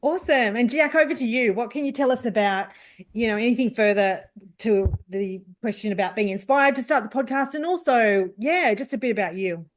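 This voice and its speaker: Higher-pitched woman interviewing informally. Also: expressive, fairly quick. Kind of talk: casual interview talk.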